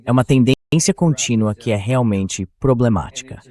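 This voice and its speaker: A man's voice: mid-range voice, normal speed, mellow. A man with a Brazilian accent and a mid-range voice delivers opinionated political commentary. He speaks as a show host, colloquial and blunt, with a sarcastic edge. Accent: Brazilian Portuguese.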